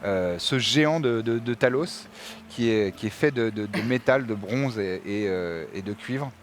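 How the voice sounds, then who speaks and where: A man running a tabletop game, narrating and explaining rules in a halting way with many fillers. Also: on the low side, slight background noise. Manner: halting, many fillers and restarts while describing. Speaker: game master.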